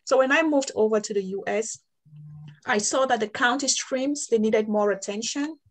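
A woman's voice: on the high side, moderate pace. Earnest Cameroon-born woman on a video call. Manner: earnest, steady, with small restarts. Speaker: water quality programs coordinator. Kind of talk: breakout room discussion.

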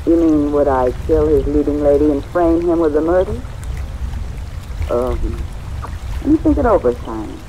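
An actress playing a sardonic, boozy former stage star with an arch, theatrical drawl in an old-time radio drama. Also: female voice, sits low, expressive, normal speed, long pauses, highs cut off, slight background noise. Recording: old radio broadcast under loud rain.

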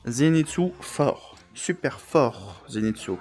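A man's voice: mid-range voice, expressive, slow pace, a little mellow. A man improvising playful roleplay banter as a streamer, theatrical and joking, prone to sudden outbursts.

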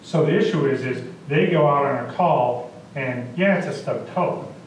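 A man's voice: medium pitch, moderate pace, slight background noise. Guy explaining stuff at a council meeting, plain talker, super echoey and far from mic. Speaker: council member. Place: small council chamber, indoors. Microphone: distant camera microphone.

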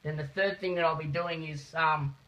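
A man giving a step-by-step practical demonstration in a deliberate, halting way, repeating his phrases, picked up by a phone camera in a small room. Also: fairly high pitch, steady tone, pushed and bright. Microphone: phone camera held nearby. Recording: muffled phone video sound.

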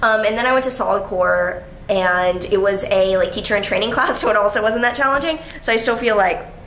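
High-voiced woman rambling in echoey room. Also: a little fast, slight background noise. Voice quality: high, bright voice. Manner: rambling, frequent "like" fillers, casual swearing. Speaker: vlogger. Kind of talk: casual vlog chat. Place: apartment living room. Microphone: handheld camera at arm's length. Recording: echoey room with faint ambience.

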